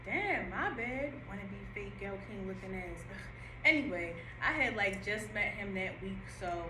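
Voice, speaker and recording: A woman playing a brash, chatty young cafe worker in an audio play read-through, animated, slangy and bustling. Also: mid-range voice, moderate pace, close to an everyday voice. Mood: brash, rambling. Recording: echoey room with background noise.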